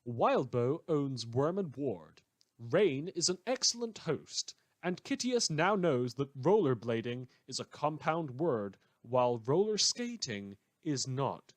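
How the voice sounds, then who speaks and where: A man's voice: mid-range voice, big pitch swings, slow pace, dry recording. A man hosting his fan podcast, giving informal closing credits and notes in a conversational way. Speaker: podcast host and writer. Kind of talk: informal show outro and credits.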